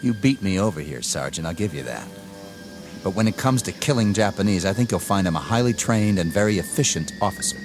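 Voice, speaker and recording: An actor playing an arrogant, inexperienced army lieutenant in a radio drama, with a clipped, formal, commanding manner. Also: male voice, low voice, lively intonation, fairly quick. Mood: scornful. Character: arrogant, rigid, inexperienced young army lieutenant, eager for combat. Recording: dramatic music under the dialogue.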